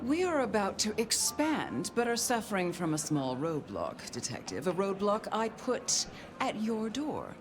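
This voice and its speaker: A woman's voice: medium pitch, huge pitch swings, over music. Haughty vampire woman, swooping intonation. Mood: imperious. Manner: condescending, deliberate. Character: haughty female vampire crime boss, imperious and cutting. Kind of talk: dramatic video game dialogue.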